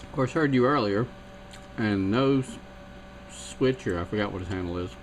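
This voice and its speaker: A man's voice: on the low side, normal speed. A man chatting over CB radio, rambling and chatty, with a technical bent. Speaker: CB radio operator. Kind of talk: casual radio chat. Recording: CB radio with clear static.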